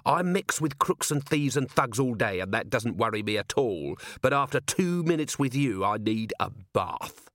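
Audiobook police commander, acted by a male narrator: gruff, curt, sardonic, quick to anger, expressive swings in pitch. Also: no room sound, studio quality. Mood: menacing, seething.

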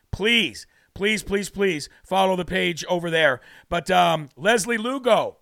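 A man's voice: high voice, creaky, fairly bright tone, no echo, studio quality. A man from New Hampshire hosting a live political talk show, brash and preachy, losing his voice as he reads out viewer comments.

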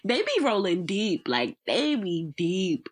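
A woman's voice: medium pitch, very animated, slow pace. A young woman with a stuffy nose, chatting and laughing on a podcast in slangy talk. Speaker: podcast co-host. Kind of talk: casual chat.

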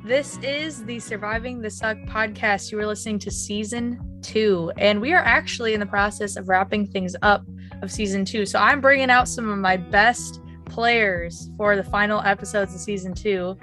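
A woman's voice: medium pitch, moderate pace, a bit bright, soft background music. A woman hosting a casual podcast, effusive and earnest, her voice a little off as she recovers from an illness. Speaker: podcast host.

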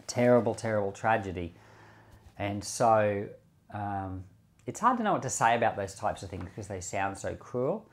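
A man's voice: fairly low pitch, lively intonation, normal speed. A man speaking as a pastor on a Bible podcast, explaining scripture in a conversational way with rhetorical questions. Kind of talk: Bible teaching podcast with commentary and prayer.

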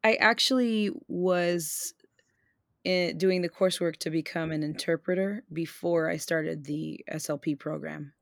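A woman's voice: on the low side, fairly even intonation, measured pace, vocal fry, no room sound, studio quality. A woman from Puerto Rico co-hosting a podcast, chatting in an easygoing, agreeable way. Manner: easygoing, agreeable, many fillers. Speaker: podcast co-host. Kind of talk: casual podcast conversation.

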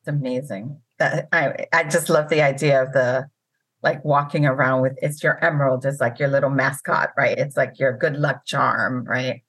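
A woman hosting a podcast interview, relaxed and loose with her words. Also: a bass voice, moderate pace, breathy edge, no echo. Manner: relaxed, hedging with "kind of". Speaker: podcast host. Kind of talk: casual interview.

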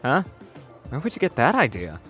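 A man's voice: medium pitch, wildly swooping pitch, moderate pace, fairly bright tone, soft background music. A man playing an outspoken, principled young friend with a dry manner in an audio drama. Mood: supportive.

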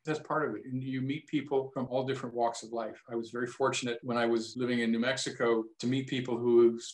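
Midwestern playwright in his sixties, reflective interview answers, roomy echo. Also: medium pitch. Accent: Midwestern American. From American Midwest. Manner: reflective, unhurried storytelling.

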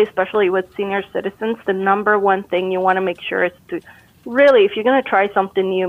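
Woman from costa rica giving brain health tips, upbeat, thin phone sound, no room echo. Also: mid-range voice. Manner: upbeat, encouraging, laughs easily. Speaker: brain health expert guest. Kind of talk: casual interview advice. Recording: tinny phone line.

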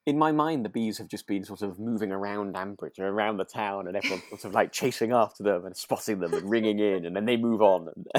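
A British man co-hosting a podcast in relaxed casual chat, rambling a little hesitantly and sounding amused. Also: on the low side, a little fast, few pauses, no echo. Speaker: podcast co-host.

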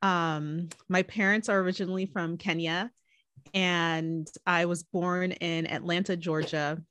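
A woman's voice: on the low side, level tone, measured pace, fairly bright tone, smooth, no echo. A woman from California, a spiritual coach guest in an interview, speaking thoughtfully with frequent ums. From United States, California. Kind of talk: conversational interview.

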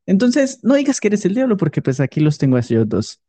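A man's voice: high-pitched, huge pitch swings, a little fast, mellow. A man with a Colombian accent chatting casually and jokily as a streamer being interviewed. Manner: jokey. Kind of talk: casual improvised chat.

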